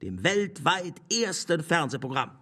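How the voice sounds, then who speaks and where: An actor playing a shrewd, cynical, condescending Nazi-era official in a radio play. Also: male voice, a little high, big pitch swings, unhurried, sharp tone, husky, full character voice. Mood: calculating.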